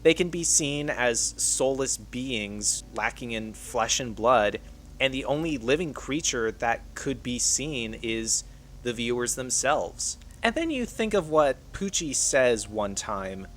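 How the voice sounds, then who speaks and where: A man with a mid-pitched voice delivers scripted video essay narration. He explains things as an online commentator would, with faint ambient noise behind his voice. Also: expressive, slow, fairly bright tone.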